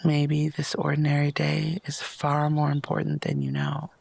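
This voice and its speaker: A man softly narrating a guided meditation, gentle and unhurried, with long pauses and breathy phrases that lapse into near-whispers. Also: a little high, completely flat delivery, dry recording.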